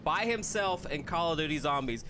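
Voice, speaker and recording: A man's voice: a little high, lively intonation, normal speed, heavy background noise. A man hosting a casual group podcast, steering the conversation and prompting the others. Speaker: podcast host.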